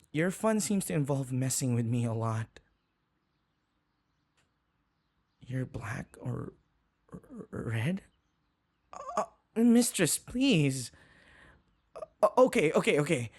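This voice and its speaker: A man's voice: a little high, a little mellow, exaggerated voice. A man playing a flustered, submissive young human servant in an audio roleplay, stammering, hesitant and deferential. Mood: meek, flustered. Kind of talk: audio roleplay acting addressed to the listener.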